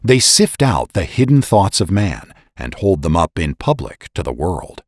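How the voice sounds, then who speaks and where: A man's voice: deep voice, big pitch swings, a little slow, a little mellow, no echo, studio quality. A man reading scripted historical narration in a solemn, oratorical way with emphatic phrasing. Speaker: podcast narrator and reader.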